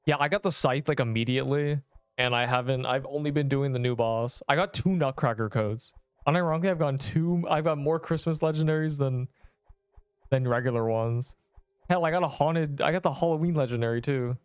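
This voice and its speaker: A man's voice: medium pitch, a little fast, clear, resonant tone, dry recording. A young man from Canada with a subtle Canadian accent, chatting casually and rambling while streaming a game. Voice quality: rambling, self-interrupting chatter. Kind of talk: casual live-stream chat while gaming.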